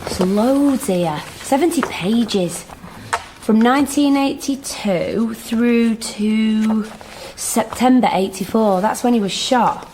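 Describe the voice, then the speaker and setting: A blunt, independent sister in a radio drama, with faint music. Her voice is a little high, and she talks in dry, clipped, natural dialogue with a defensive edge. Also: slow, poor audio. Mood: dry, curious. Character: defensive, independent pregnant woman, sharp with her brother.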